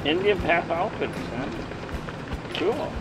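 A man's voice: high voice, expressive, unhurried, very noisy. An older man hosting a casual chat on a marina dock, chatty and teasing, handing out tips. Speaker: host filming the interview. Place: outdoor marina dock by the water.

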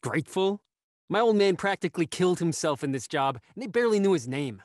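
A man's voice: high voice, animated, quick pace, darkish tone, no room sound, clean studio sound. A man playing a bitter working-class gardener and war veteran in a radio mystery, curt and resentful. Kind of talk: radio mystery acting, live online table read.

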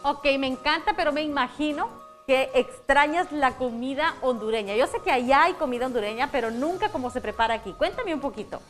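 High-voiced upbeat TV host woman. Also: brisk, faint music behind. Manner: upbeat, enthusiastic praise. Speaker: TV morning show host. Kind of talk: live TV interview questions. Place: TV studio.

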